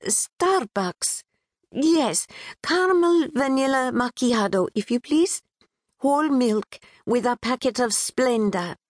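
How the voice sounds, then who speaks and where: A woman's voice: fairly high pitch, expressive, slow pace, dry recording, clean studio sound, pitched above the performer's own voice. A woman voicing a timid, petite young woman with a Pakistani accent tinged with British, speaking in a hesitant, sing-song, formal way. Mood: polite. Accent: Pakistani with a British twang.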